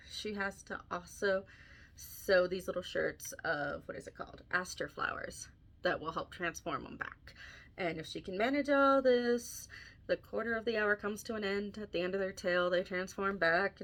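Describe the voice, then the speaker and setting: A woman's voice: medium pitch, normal speed, a bit bright. A woman tells an old fairy tale in a casual podcast style, with a mid-range voice. She talks informally, as if explaining it to friends, and drops in dry, wry comments along the way. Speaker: podcast host and author.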